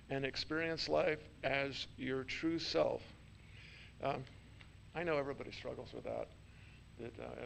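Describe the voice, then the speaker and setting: A man with a deeply gravelly, creaky voice gives the weekly announcements in a church sanctuary. He talks casually and haltingly, stumbling through restarts and fillers, with a touch of self-mocking humor. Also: slight background noise, poor audio.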